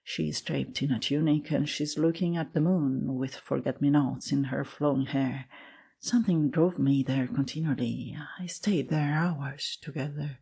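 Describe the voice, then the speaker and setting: A man playing an ardent, lovesick young man, earnest and melancholy, with a husky voice and a yearning, confessional delivery in a literary dramatic reading. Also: on the high side, steady tone, a little slow, warm tone, heavily acted. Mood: anguished.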